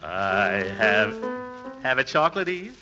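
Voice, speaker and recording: Muffled vintage radio, blustering middle-aged uncle, sheepish chuckles, hemming, swooping melodic delivery, pompous. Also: male voice, fairly low pitch, very animated, slow, music in the background. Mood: sheepish, coaxing. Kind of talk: 1940s radio comedy acting.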